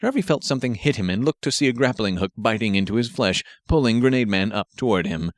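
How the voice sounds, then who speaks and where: A man's voice: fairly low pitch, expressive, quick pace, dry recording, clean studio sound. A man narrating an action story as a scripted audiobook reading.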